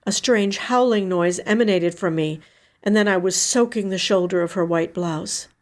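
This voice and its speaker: A woman reading a short story in the first person, with measured, somber, dramatic narration. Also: mid-range voice.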